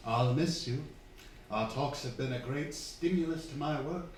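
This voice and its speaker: A man's voice: medium pitch, measured pace, far from the mic, close to an everyday voice. Man acting an earnest young reverend, very echoey. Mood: earnest, deferential. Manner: earnest, courteous, deferential. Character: polite, earnest, deferential younger clergyman. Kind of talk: staged old-fashioned radio drama acting. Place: theatre hall, distant microphone. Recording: very echoey stage recording, faint noise.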